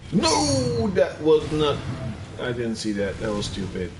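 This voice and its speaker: Slow-talking Dutch man gaming. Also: medium pitch, wildly swooping pitch, roomy sound, background noise. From Netherlands. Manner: slow, loose, chatty with viewers, swears casually. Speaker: gaming streamer. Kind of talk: casual live commentary while playing.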